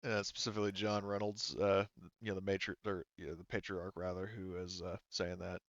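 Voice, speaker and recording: A man working as game master, narrating a tabletop roleplaying game casually and haltingly as he thinks aloud. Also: low-pitched, little pitch movement, no room sound, lo-fi sound.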